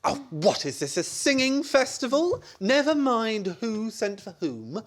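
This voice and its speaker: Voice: medium pitch, big pitch swings, a little slow. A performer playing a flustered, exasperated married man in a radio farce, blustering and stammering. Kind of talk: stage farce radio play acting.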